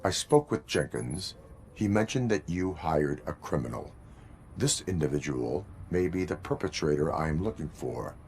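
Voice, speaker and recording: Cyborg lawman, game cutscene: deep, stiff, formal, stoic, over light background noise. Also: sing-song, creaky, darkish tone, heavily acted. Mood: probing, formal. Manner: stiff, formal, deliberate phrasing. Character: stoic male cyborg police officer, formal, relentless. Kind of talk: video game cutscene acting.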